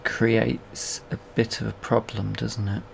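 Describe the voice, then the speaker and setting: A man's voice: on the low side, little pitch movement, slow pace, creaky, a little hiss or hum. A British man giving casual, unscripted let's play commentary on a video game, thinking aloud as he plays. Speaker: gaming video host. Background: farming game vehicle and ambience sounds.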